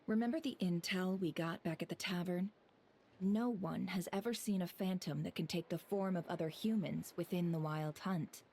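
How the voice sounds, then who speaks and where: Woman doing a composed female investigator from a game, bit low, formal and measured, thinks things through. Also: darkish tone, slight background noise, natural voice. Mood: calm, analytical. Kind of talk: video game voice acting.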